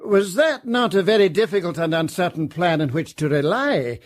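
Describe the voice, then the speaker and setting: Fussy polite official, quite high male voice, very formal and precise, radio mystery play. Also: expressive, moderate pace. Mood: courteous, querying. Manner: precise, formal, hesitant openings. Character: fussy, courteous legal official, politely doubting. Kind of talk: detective radio drama acting.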